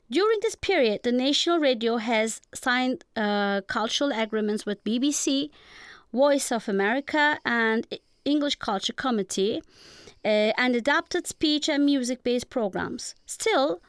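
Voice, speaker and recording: A woman with a Turkish accent, a native Turkish speaker, giving formal, measured, lecture-like answers as a guest professor. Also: sits high, clean tone, no echo, studio quality. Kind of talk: prepared lecture-like interview answers.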